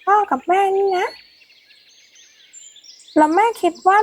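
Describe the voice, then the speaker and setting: A woman's voice: very high voice, takes it slowly, voice pushed up. A woman voicing a curious, sweet little girl who asks polite, childlike questions in a storybook tale.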